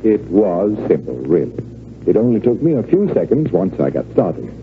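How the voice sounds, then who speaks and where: Cool-headed stage magician, low lively voice, 1940s radio style, tinny recording. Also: male voice, normal speed. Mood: smug, teasing. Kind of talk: 1940s radio drama acting. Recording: tinny, narrow, noisy old radio recording.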